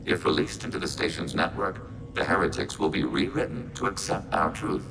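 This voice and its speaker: A man's voice: deep voice, moderate pace, vocal fry, noisy background. A man voicing a logical, emotionless robot soldier of a collective mind in a video game, speaking in formal, clipped statements through synthetic processing. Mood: impassive, analytical. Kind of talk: video game character acting.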